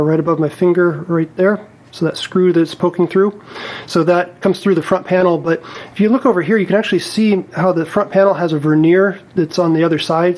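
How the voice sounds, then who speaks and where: A man's voice: a little high, a little mellow. A man giving a casual, step-by-step repair demonstration in a methodical, careful, explanatory way. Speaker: repair technician presenting a tutorial.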